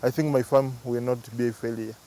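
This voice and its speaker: A man's voice: mid-range voice, steady tone, brisk, mellow. A man from Uganda with a Ugandan accent, speaking as a farming trainee in a documentary interview.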